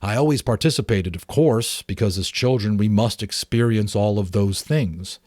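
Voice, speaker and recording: A man voicing a gentle, shy father who earnestly recalls his childhood in an audiobook. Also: lowish voice, moderate pace, no echo, clean studio sound, lightly acted. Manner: earnest, reminiscing.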